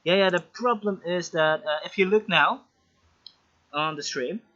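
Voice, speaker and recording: A man's voice: sits high, unhurried, pushed and bright. Joking man hosting a live stream. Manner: chatty, joking, distracted by tech trouble. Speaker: host. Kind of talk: casual live stream chat. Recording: slightly dull lo-fi stream audio.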